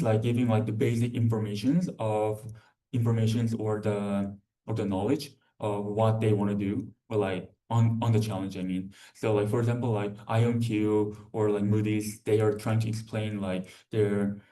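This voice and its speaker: Halting young man, low muted voice, distant mic. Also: mellow. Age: young adult. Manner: halting, repetitive, heavy use of "like". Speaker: student presenter. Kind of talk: informal conference presentation. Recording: distant microphone.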